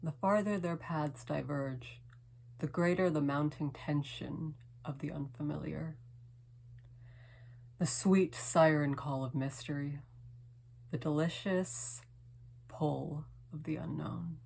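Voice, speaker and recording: A woman reading her own poem in a dramatic, lingering way, with hushed phrase endings and long pauses. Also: low voice, fairly even intonation, creaky. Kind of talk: slow dramatic poetry reading.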